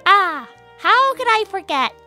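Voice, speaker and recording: A woman's voice: extremely high pitch, very animated, a little slow, sharp tone, faint music behind, heavily acted. Amy, voiced by a woman, gushing pink hedgehog girl. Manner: gushing, dramatic, indignant. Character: lovestruck fiery young female pink hedgehog. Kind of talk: fan-made cartoon voice acting.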